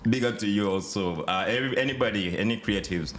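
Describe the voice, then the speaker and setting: A man in his thirties from Uganda with a Ugandan accent, a podcast guest talking in a relaxed, hesitant way with many fillers. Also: on the low side, fast, slight background noise. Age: 30s. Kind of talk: casual interview chat.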